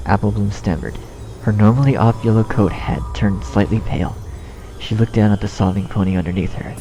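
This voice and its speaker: A man's voice: on the low side, brisk, dark tone, low-quality recording. A man reading a scripted story as narrator, in a dramatic storytelling style. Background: music.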